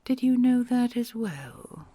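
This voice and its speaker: A woman voicing a kind, ancient queen dragon who whispers softly and gently, amused and patient, in an audio roleplay. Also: a little high, lively intonation, no room sound. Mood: gentle. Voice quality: breathy whispery, gravelly. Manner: slow soothing, amused, patient.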